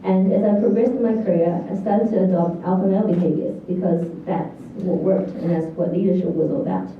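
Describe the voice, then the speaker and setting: A woman's voice: lowish voice, steady tone, fairly quick. A woman in her thirties with a New Zealand accent, giving a prepared graduation speech as a student valedictorian. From New Zealand, born in China. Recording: echoey hall, distant, thin lo-fi, faint noise.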